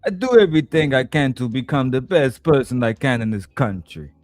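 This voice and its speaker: A man's voice: medium pitch, animated, fairly quick, a little mellow, faint music behind, close to an everyday voice. A man putting on a mock Russian accent to parody a tearful immigrant woman. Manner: exaggerated mocking parody.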